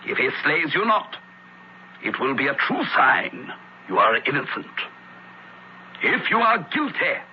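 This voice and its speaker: An actor playing a stern Roman judge in a radio drama, handing down sentences in grandiose, drawn-out phrases. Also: male voice, on the high side, big pitch swings. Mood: solemn, ominous. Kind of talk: 1970s radio drama acting. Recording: muffled noisy old radio recording.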